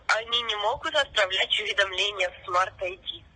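Pushy woman on a bright, tinny phone line. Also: medium pitch, moderate pace, husky. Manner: formal, pushy, insistent. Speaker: phone scammer posing as a bank officer. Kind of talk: scripted call-center pitch.

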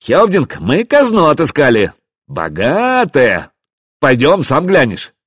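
A man voicing an excitable, loyal warrior who speaks bluntly to his chief in an audiobook. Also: lowish voice, normal speed, slightly rough, dry recording, studio quality. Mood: delighted.

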